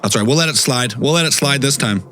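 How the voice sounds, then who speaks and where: A man in his thirties co-hosting a call-in show, joking and swearing in quick banter. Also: medium pitch, sharp tone, slight background noise. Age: 30s. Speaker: podcast co-host. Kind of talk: casual chat. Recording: dry studio mic.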